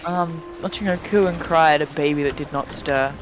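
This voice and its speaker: A man with a fairly high voice reads fiction aloud in a dramatic storytelling style. He drops into sweary, casual asides to his friends. The recording is a voice chat with clear background noise. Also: normal speed. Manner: sweary, breaks off mid-sentence to chat. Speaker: fan fiction reader on a live stream. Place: live-streamed group voice chat.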